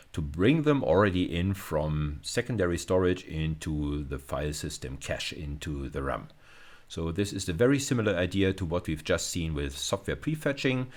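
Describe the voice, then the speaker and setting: A man giving a technical video lecture as a university lecturer, explaining things hesitantly with frequent pauses. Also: low voice.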